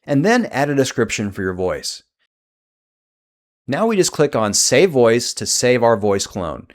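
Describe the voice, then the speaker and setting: A man narrating a scripted software tutorial as its host. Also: medium pitch, sing-song, measured pace.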